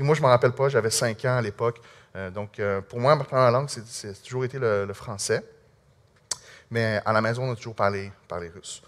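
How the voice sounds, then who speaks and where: Earnest Québécois man telling his story. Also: medium pitch. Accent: Québécois French. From Quebec. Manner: earnest, conversational, halting with frequent restarts. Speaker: speaker sharing a personal testimony. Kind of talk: personal testimony to an audience.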